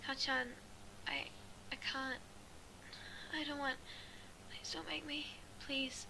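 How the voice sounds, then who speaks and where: A woman voicing an anxious teenage boy in a story reading, halting and pleading. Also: sits high, steady tone, exaggerated voice. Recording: clearly noisy amateur recording.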